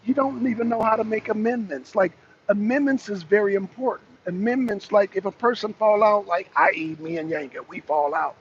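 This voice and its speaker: A raspy-voiced man hosting a casual rant to his viewers from his truck, blunt and profane as he calls out rivals. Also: high-pitched, brisk, dull audio, a little hiss or hum. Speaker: host. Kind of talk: casual rant to a live audience. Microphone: phone camera.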